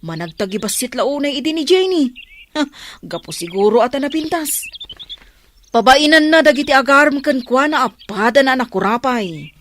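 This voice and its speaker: Babai nga agakem iti natinis a timek a madanagan a babai. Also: female voice, high voice, lively intonation, moderate pace, fairly bright tone. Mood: earnest, frank. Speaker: radio drama performer. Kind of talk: Ilocano radio drama acting. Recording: noisy track with birdsong and clicks.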